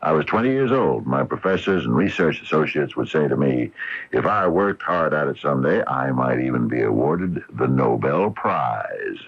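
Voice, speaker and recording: Uneasy small-town shopkeeper, radio mystery: very low, husky, hesitant. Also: male voice, sing-song, heavily acted. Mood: uneasy, confiding. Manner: hesitant, halting, full of uhs. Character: uneasy small-town hardware store owner, once a young scientist. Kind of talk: 1980 radio drama acting. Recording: muffled vintage AM radio broadcast.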